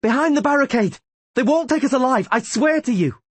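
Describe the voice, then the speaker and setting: A man reading a fierce, defiant woman mercenary who shouts savagely, in a much higher, louder voice. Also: expressive, brisk, no echo. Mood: commanding.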